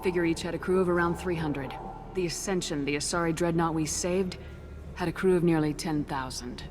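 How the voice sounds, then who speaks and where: An actress playing a tough, resolute space commander in a video game, firm and terse. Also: female voice, fairly low pitch, fairly quick, over music, close to an everyday voice. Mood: defiant, solemn.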